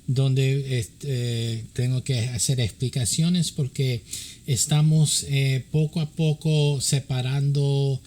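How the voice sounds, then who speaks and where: Man slowly speaking halting Spanish. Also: medium pitch, steady tone, a little mellow. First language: English. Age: middle-aged. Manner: halting Spanish with many fillers; switches between English and Spanish. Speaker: pastor and counselor to pastors. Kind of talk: informal teaching talk.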